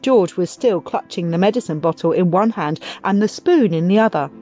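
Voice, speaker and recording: A woman narrating a children's audiobook as an expressive storyteller. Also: lowish voice, normal speed, lo-fi sound. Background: music.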